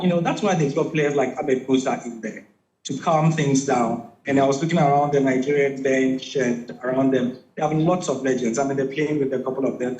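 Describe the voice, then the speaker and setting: A man's voice: mid-range voice, a little mellow, reverberant, rough recording. A man from Ghana giving conversational football analysis as a studio pundit, with frequent restarts.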